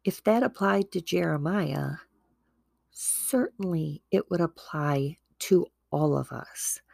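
Low, gravelly, stuffy-nosed woman host. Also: slow pace, darkish tone, dry recording. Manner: chatty, earnest, full of fillers and asides. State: stuffy nose from a starting cold. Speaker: podcast host. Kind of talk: casual devotional talk.

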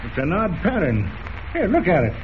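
An actor playing a blustery, excitable male sea captain in an old radio drama. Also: high voice, normal speed. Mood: concerned. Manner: blustery, exclamatory. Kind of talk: 1940s radio drama acting. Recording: dull, noisy old radio recording.

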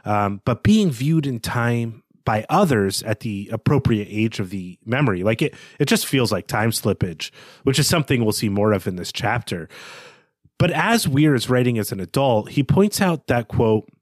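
A man co-hosting a podcast, talking through literary analysis in an analytical, measured manner. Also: medium pitch, animated, dry recording, studio quality. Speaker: podcast co-host.

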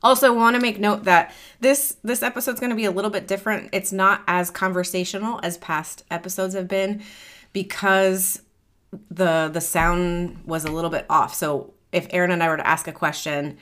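Low-voiced woman podcast host. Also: fairly even intonation, normal speed, a bit bright. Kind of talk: casual podcast talk.

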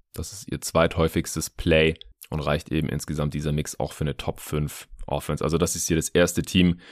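A low-pitched man delivers conversational basketball analysis as a podcast host. He explains things informally, with casual asides, and the recording is very dry. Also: no echo. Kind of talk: conversational sports analysis monologue.

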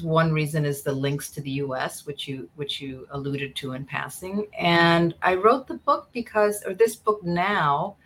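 Deep-voiced middle-aged woman interviewee. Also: a bit bright. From United States, in a Cuban immigrant community. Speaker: interview guest, history professor and author. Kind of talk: thoughtful conversational explanation.

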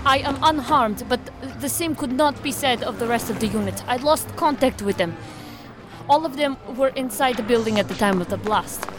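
A woman's voice: on the high side, moderate pace, over music, lightly acted. A woman playing an earnest, dutiful field agent in a science fiction audio drama, speaking formally. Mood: urgent, formal. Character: earnest, dutiful female field agent, reluctant former commander.

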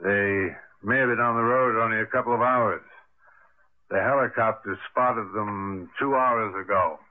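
An actor playing a skeptical, brusque official in a vintage radio drama. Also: male voice, lowish voice, measured pace, natural voice. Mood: dismissive, doubting. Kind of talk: 1970s-80s radio drama acting. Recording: narrow-band vintage radio broadcast.

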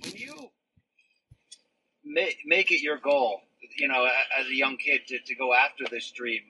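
A man's voice: medium pitch, unhurried, pushed and bright, a little hiss or hum, poor audio. A man interviewing a boxer, asking probing, eager questions.

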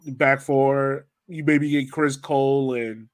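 A man's voice: medium pitch, fairly even intonation, slow. A man hosting a soccer fan podcast in a casual, conversational style. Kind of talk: casual chat.

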